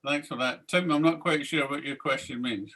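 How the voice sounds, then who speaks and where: A man's voice: a little high, normal speed, a bit bright. A man chairing a question and answer session, calling on members by name in brief turns. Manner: brief, calls on people by name. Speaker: meeting chair. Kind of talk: moderating Q and A.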